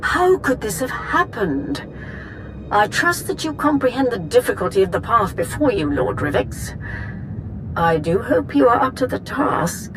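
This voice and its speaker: A regal Sith empress. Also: female voice, a little high, a little slow, noisy background. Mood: imperious. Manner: calm, regal, imperious. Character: regal female Sith empress, cool and imperious. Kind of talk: video game cutscene acting.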